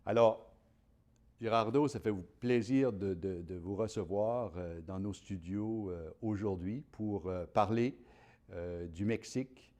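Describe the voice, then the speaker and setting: Un homme qui anime un webinaire en studio, chaleureux et hésitant, avec beaucoup de « euh ». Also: male voice, on the low side, slow pace, mellow. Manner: friendly, many fillers. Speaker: webinar host. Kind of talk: hosting a live webinar.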